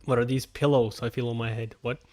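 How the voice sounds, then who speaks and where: A man's voice: medium pitch, steady tone, a little fast, darkish tone, faint music behind. A man reading a webtoon aloud and reacting casually, exclaiming and swearing with mocking disbelief. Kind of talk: casual live reaction and read-along.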